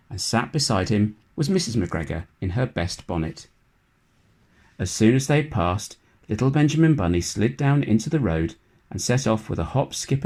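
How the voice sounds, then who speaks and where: A man narrating a children's storybook in a measured, expressive read-aloud manner. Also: lowish voice. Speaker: storybook reader.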